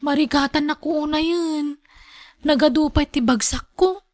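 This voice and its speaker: Anxious young student for radio serial, woman actor, Filipino accent; high plaintive voice, dry sound, pleading delivery. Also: moderate pace, a little mellow, no echo, lightly acted. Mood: reluctant, pleading. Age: young adult. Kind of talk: radio drama acting.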